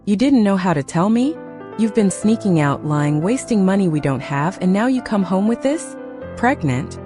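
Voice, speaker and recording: A woman's voice: mid-range voice, lively intonation, normal speed, music in the background, lightly acted. A woman acting as a harsh, unforgiving mother in a roleplay story drama, accusing and cutting. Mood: outraged. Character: furious, harsh, unforgiving mother of a teenage girl.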